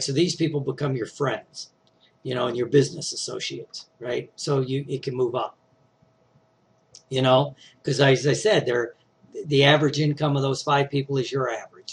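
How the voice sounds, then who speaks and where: A middle-aged man with a slightly scratchy voice, teaching success skills in a casual, conversational talk that he often punctuates with "right?". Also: medium pitch. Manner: conversational, frequent "right?" and "you know" tags. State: scratchy voice, clearing throat.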